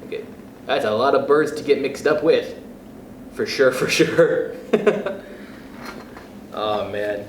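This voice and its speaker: A man reading a children's picture book aloud in a playful, expressive way, chuckling between passages, then speaking to young listeners himself. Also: medium pitch, slow pace, long pauses, a little hiss or hum. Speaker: youth club storyteller. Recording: echoey room.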